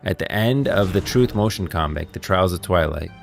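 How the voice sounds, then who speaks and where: A male streamer explains game lore. His voice is fairly low with a lot of vocal fry. He is on a close mic with soft music in the background. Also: animated, normal speed. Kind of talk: lore commentary, part scripted, part off the cuff.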